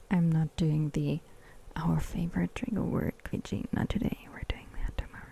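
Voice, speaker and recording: Woman ASMR streamer, whispers close to the mic, slow and mellow, replies to chat. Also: sits low, steady tone, gravelly, breathy edge. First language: German. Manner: whispering, reading out and answering chat. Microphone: sensitive ASMR microphone.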